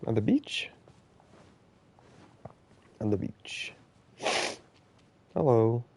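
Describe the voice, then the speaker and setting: A man's voice: medium pitch, sing-song, drawn-out words, vocal fry, mellow. A man giving casual live gaming commentary, with profane, sarcastic muttered asides. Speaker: game streamer.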